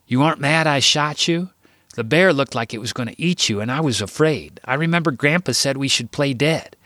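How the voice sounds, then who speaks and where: A man's voice: mid-range voice, expressive, fairly quick, a bit bright, dry recording, studio quality, voice pushed up, lightly acted. A man voicing a scared, tearful little boy who asks pleading questions in a rush. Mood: upset.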